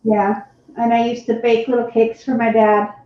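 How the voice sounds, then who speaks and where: A woman's voice: on the high side, level tone, normal speed. A woman co-hosting a live painting stream, chatting in a rambling way and laughing often. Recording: very echoey, dull lo-fi sound.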